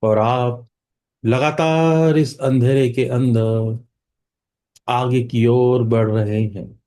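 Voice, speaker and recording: A man with an Indian accent guides a meditation at an extremely unhurried pace. His voice is mellow and relaxed, with a middling pitch. He speaks soothingly, leaving long pauses between short phrases.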